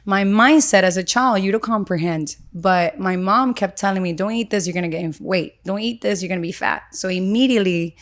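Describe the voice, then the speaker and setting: A woman from Venezuela, a podcast guest in casual roundtable conversation, earnest and lively, tagging her sentences with "you know" and "right.". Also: mid-range voice, fairly quick, few pauses. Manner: lively storyteller, slips into quoted voices.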